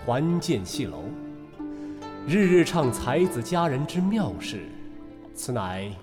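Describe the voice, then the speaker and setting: A man's voice: mid-range voice, very animated, takes it slowly. A man playing a roguish, teasing night traveller in a Chinese period audio drama, witty and nonchalant. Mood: lofty, declaiming. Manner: teasing, playful, theatrical. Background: music.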